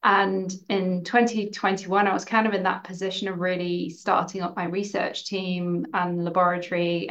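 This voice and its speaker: A lower-pitched woman with an English accent answers interview questions as an academic guest. She speaks in an earnest, measured way and leans on emphasis. The recording sounds a little dull and muffled. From England. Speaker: senior lecturer, interview guest.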